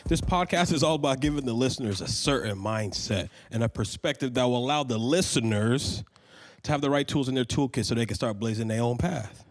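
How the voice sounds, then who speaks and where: A man hosting a podcast in a casual, slangy style, speaking informally to listeners and guest in a studio. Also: mid-range voice. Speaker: podcast host.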